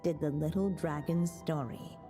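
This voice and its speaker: A tender witch mother telling a storybook tale in a video game. The voice is soft-toned, mellow and a little low, unfolding slowly and solemnly over faint music. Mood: gentle, solemn. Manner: slow, measured, tender.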